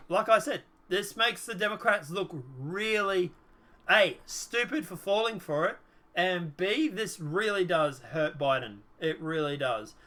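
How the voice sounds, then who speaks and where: A man's voice: high voice, bright, forceful tone. A man giving casual political commentary while reading from a document, dismissively trailing off with repeated filler.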